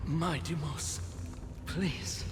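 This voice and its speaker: Game role: fallen soldier, higher male voice, slow noble plea, hall reverb. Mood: pleading. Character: fallen soldier pleading nobly with his king. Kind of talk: dramatic game voice acting. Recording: reverberant hall with loud music.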